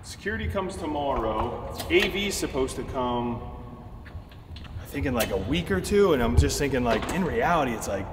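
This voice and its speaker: A man's voice: mid-range voice, big pitch swings, moderate pace. Gym-owner man, 30s, casual profane vlog chat, recorded from a far camera with a noisy background. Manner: laid-back, profane, filler-heavy. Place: large empty warehouse gym space. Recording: echoey warehouse with long reverb, noisy.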